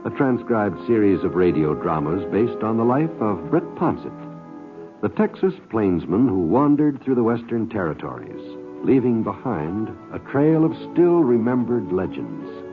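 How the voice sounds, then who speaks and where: A man's voice: on the low side, big pitch swings, normal speed, over music. An American man reading scripted introductions as a 1950s network radio announcer. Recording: tinny vintage radio broadcast recording.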